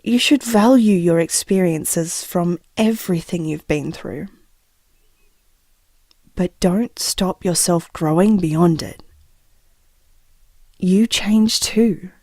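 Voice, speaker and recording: Audio drama gentle, sorrowful woman: mellow, hushed, very unhurried, sincere, reassuring someone she loves. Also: fairly low pitch, dry recording, heavily acted. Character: sad, gentle woman bravely reassuring a loved one. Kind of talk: intimate audio drama monologue.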